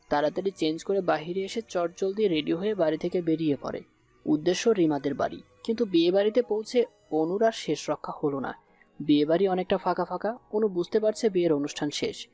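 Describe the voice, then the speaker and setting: Mellow male story narrator. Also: high voice. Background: music.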